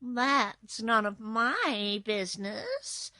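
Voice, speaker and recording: A woman's voice: on the high side, very animated, pushed and bright, no echo, exaggerated voice. A woman voicing a surly, defiant young female kitten with a snarling, insolent manner in a storybook reading. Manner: slow, snarling, insolent delivery. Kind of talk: storybook character voice.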